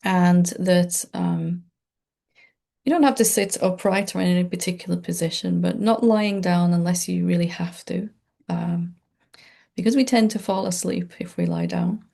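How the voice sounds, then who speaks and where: A woman meditation teacher leading a gentle, unscripted guided meditation in a soothing, informal and reassuring way. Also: fairly low pitch, normal speed, creaky.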